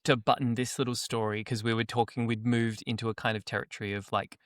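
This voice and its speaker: A soft-spoken man talks on a podcast at a middle pitch. He speaks in a measured way and pauses to find the right word. The recording is dry and close. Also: flat delivery, no echo. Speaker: podcast co-host. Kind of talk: casual chat.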